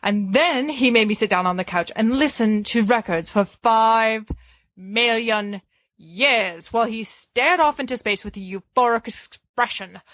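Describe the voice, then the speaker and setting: A woman's voice: on the high side, unhurried, a bit bright, no room sound. A woman reading a fan fiction letter aloud with a playful, cheeky, mock-pompous delivery. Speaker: podfic reader. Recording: dull lo-fi dry home recording.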